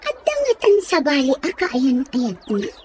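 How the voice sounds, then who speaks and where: Lively, high-voiced, outspoken Filipina an actress plays. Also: female voice, unhurried, a little hiss or hum, full character voice. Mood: blunt, concerned. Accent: Ilocano Filipino. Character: outspoken, teasing young village woman, loyal best friend. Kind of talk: audio comedy-drama acting.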